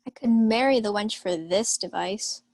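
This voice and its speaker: A woman's voice: medium pitch, moderate pace, a little mellow, lightly acted. A young woman acting a boisterous male reveller who throws scoffing asides in a Shakespeare radio comedy. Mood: amused. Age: young adult. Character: boisterous male reveller spying on a gullible rival. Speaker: student actor. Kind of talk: Shakespeare comedy as 1940s-style radio play.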